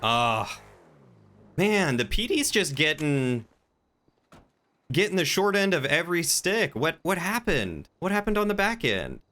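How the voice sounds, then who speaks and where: A man's voice: fairly high pitch, very animated, unhurried, sharp tone. A man streaming a video game, chatting casually and musing aloud to himself as he plays. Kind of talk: casual livestream chat while gaming.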